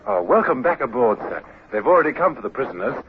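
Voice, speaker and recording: An actor playing an eager, deferential junior British naval shipmate, earnest and hesitant, in old radio drama style. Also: male voice, mid-range voice, very animated, fast talker, loud music behind the voice, natural voice. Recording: dull, noisy old radio recording.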